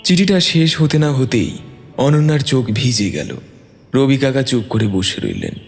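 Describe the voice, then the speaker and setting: A man's voice: medium pitch, very animated, a little slow, darkish tone, big-room sound. A man narrating a scripted emotional story dramatically, as its storyteller, with music behind him.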